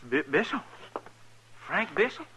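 An actor playing a chatty, excitable small-town Western barber in a radio drama. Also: male voice, high voice, measured pace, slightly rough, heavily acted. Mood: indignant. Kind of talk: 1950s radio western acting. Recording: noisy old radio recording.

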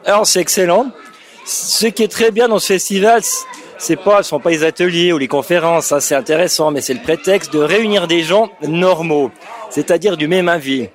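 A man's voice: fairly high pitch, moderate pace, some chatter behind. Chatty man from Switzerland. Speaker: festival attendee. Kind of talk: casual vox-pop interview.

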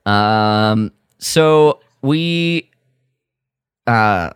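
A man's voice: on the low side, lively intonation, very slow, clean tone, no echo, studio quality. A man co-hosting a podcast, recapping a radio drama in casual chat, rambling with lots of fillers and restarts. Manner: rambling, full of fillers and restarts, quotes characters mockingly. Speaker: podcast co-host.

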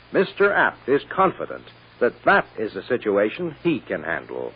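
A man's voice: medium pitch, husky. A man announcing a 1940s radio serial in scripted narration. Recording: muffled old-time radio recording.

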